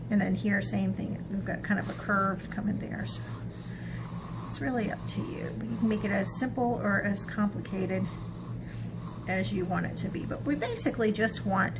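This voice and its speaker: A woman's voice: medium pitch, noisy background. A woman teaching a children's drawing lesson step by step in an informal, encouraging way, thinking aloud as she goes.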